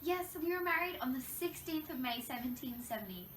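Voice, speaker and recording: A woman's voice: sits high, animated, fairly quick. A woman playing a wronged young French queen in a scripted interview role play, recounting her troubles in a formal, recited way. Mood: earnest, reflective. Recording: echoey room, distant mic, faint noise.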